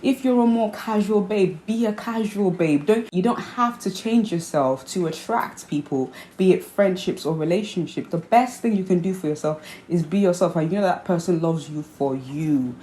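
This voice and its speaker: Low-voiced woman, hybrid Nigerian accent. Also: moderate pace, hardly any pauses, dark tone, a little hiss or hum. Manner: earnest, explains by repeating points. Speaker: vlogger host. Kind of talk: casual chat to camera. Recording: echoey room with faint ambience.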